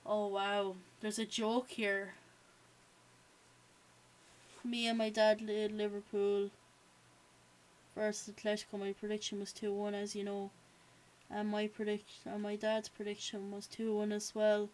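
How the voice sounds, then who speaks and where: A chatty woman with an Irish accent, hosting a live stream and reacting to a match as it plays. Her voice sits at a middle pitch for a woman. She talks loosely, pads her sentences with "um" and "yeah", and stops often to thank viewers. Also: completely flat delivery, measured pace, long pauses, darkish tone. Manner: chatty, with frequent "um" and "yeah" fillers; reads chat aloud and thanks viewers. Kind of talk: live watch-along chat and match reactions.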